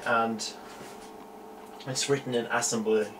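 A young man from Norway with a Norwegian accent, showing off a homemade electronics project in a small room at home, explaining it haltingly with many fillers and self-corrections. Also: medium pitch, little pitch movement, heavy background noise. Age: young adult. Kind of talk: hobbyist electronics demo.

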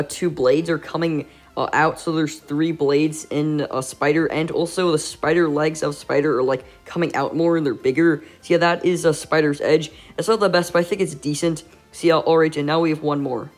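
A man's voice: on the high side, normal speed, soft background music. A congested young man with a nasal voice, doing a casual gameplay voice-over for his channel, rambling along with many "uh" fillers. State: congested, stuffy nose. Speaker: gaming YouTuber.

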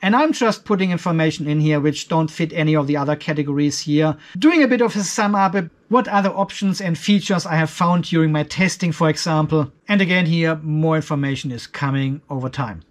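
High-pitched man explaining tech. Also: brisk, hardly any pauses. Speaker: video host, off-grid tech reviewer. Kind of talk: explanatory tech review over a spreadsheet.

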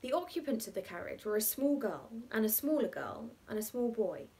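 A woman's voice: a little high, big pitch swings, warm tone. Young woman narrating a classic story. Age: young adult. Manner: measured, articulate storytelling delivery. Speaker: actor reading a short story aloud. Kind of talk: expressive literary narration of a classic short story.

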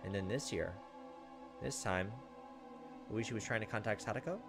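Low-voiced man reading a game aloud. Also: moderate pace. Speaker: gaming video host reading a visual novel aloud. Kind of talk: narrated let's play, dramatic reading with casual asides. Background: soft game music.

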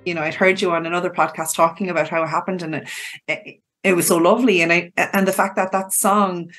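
A woman's voice: on the low side, fairly even intonation, fast, fairly bright tone, soft background music. A woman, effusive and chatty, interviewing a guest in a casual podcast style.

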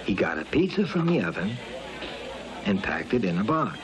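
A man reading a scripted children's storybook narration in an expressive storytelling manner. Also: on the low side, moderate pace, a crowd in the background. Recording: muffled old cartoon soundtrack, background music.

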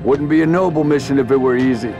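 An actor playing a stoic, gruff military commander in a video game, speaking in terse, clipped lines. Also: male voice, medium pitch, quick pace, natural voice. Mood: dry, resolute. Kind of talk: video game cutscene acting. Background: game music.

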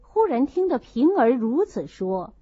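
Female audiobook narrator: high, lively pitch, telling a literary story expressively, dull recording. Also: measured pace, slightly rough, no echo.

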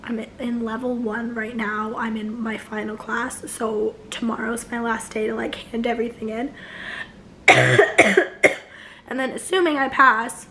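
A young woman in her twenties with a hoarse, failing voice, chatting casually to camera from her couch, rambling with many restarts. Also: on the high side, unhurried, pushed and bright, slight background noise, poor audio. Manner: rambling, many likes and restarts, gestures a lot. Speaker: vlogger. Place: living room couch, indoors.

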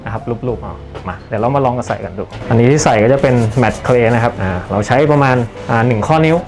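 A man's voice: mid-range voice, fast, darkish tone, faint music behind. A young man working as a senior barber, explaining a haircut and styling steps politely while working in a barbershop. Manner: polite, explanatory, often ends phrases politely. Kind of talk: hands-on styling demonstration. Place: barbershop indoors.

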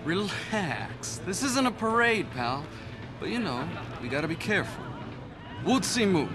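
An actor playing a calm, measured male street racing boss. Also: high-pitched, music in the background.

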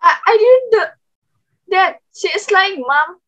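A woman's voice: very high voice, expressive, slow, a bit bright, heavily acted, rough recording. A young woman playing a meek, kind-hearted stepdaughter in an amateur readers theater, timid and apologetic. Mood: pleading. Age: young adult. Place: indoors at home, video call.

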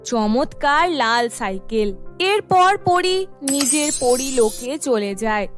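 A woman storyteller reading scripted narration for a children's cartoon tale. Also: sits high.

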